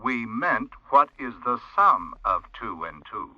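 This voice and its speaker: An actor voicing a chirpy computer tutor toy for children in a radio drama, singsong and over-enunciated. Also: male voice, medium pitch, big pitch swings, unhurried, no room sound, muffled recording. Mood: instructive, chirpy. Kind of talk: 1980s radio drama acting.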